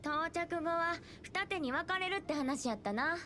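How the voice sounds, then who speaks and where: Brash, high-pitched Kansai fighter girl. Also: moderate pace, noisy background. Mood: businesslike. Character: brash, blunt, spirited young woman fighter. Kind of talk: Japanese video game cutscene acting.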